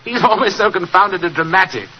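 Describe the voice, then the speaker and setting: Pompous British gentleman, swooping pitch. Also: animated, fast talker. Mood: amused, condescending. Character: lazy, imperious, drily witty elder gentleman detective. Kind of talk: 1940s radio drama acting. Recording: muffled, noisy 1940s radio recording.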